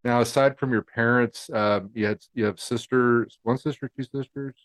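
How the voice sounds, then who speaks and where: A man hosting an interview, relaxed and supportive, with lots of fillers. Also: mid-range voice, moderate pace. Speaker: podcast host. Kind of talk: casual interview.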